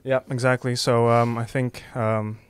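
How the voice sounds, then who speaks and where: A man giving football opinions as a show guest, relaxed and thinking aloud with frequent ums. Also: medium pitch, a little slow, clean tone, no room sound. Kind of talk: casual football chat.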